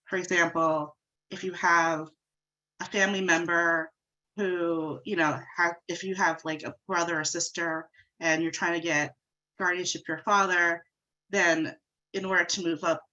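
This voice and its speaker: A female attorney with a very low but bright, forceful voice, congested from a cold. She explains conversationally as she walks through slides, and her sound comes over a dull, lo-fi call line. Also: steady tone, measured pace. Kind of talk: legal webinar presentation.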